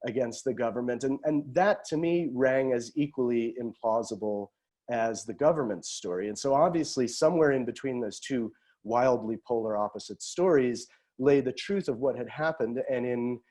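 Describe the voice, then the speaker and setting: Mellow-voiced middle-aged American man, halting and reflective. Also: medium pitch. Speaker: guest novelist. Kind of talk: thoughtful podcast interview answer.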